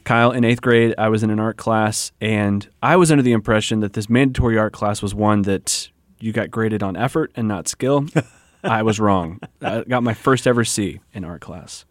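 A man's voice: lowish voice, moderate pace, dry recording. A man co-hosting a public radio magazine show from a studio, close on the mic.

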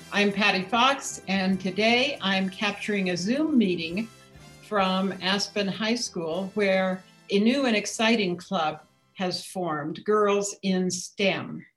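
Slow-paced woman radio host. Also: medium pitch, rough recording. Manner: slow, measured. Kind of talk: hosting a radio program.

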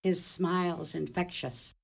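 A woman's voice: fairly low pitch, level tone, unhurried, vocal fry, slightly rough, full character voice. A woman reading scripted narration for a radio play.

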